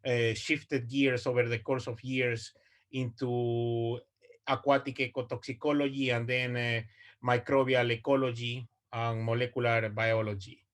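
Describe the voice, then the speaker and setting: A man's voice: mid-range voice, level tone, measured pace. Guy in his 40s giving a research talk on a video call, lots of uhs and restarts. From Ecuador. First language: Spanish. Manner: hesitant, many fillers and restarts. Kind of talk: online presentation.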